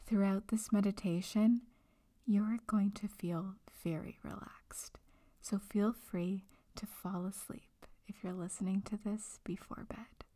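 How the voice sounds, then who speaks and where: Slow, soft, breathy woman guiding meditation. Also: mid-range voice. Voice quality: soft, breathy, often near whisper. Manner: calm, soothing, gentle, with long pauses. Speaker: meditation guide, breakup coach. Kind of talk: guided meditation narration. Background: music.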